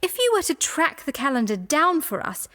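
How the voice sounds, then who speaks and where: Shrewd game trader woman, highish voice, wry n teasing, sure of herself. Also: very animated, moderate pace, bright, forceful tone, exaggerated voice. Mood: shrewd, intrigued. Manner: wry, teasing, self-assured. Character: sly, teasing female antiquities trader, shrewd and unflappable. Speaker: game character. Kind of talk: theatrical video game voice acting.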